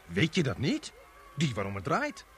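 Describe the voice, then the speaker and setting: A man's voice: medium pitch, wildly swooping pitch, moderate pace. An actor playing a chatty, showy bystander who talks in salesman-like patter and commentary in a radio play. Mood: chatty, nosy. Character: chatty, showy bystander man turned excited event commentator. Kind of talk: Dutch radio play acting.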